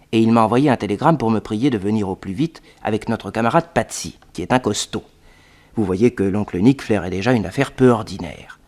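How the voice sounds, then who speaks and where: A man's voice: mid-range voice, a little mellow, close to an everyday voice. An actor narrating as a young male sidekick in a radio drama, telling the story directly to listeners.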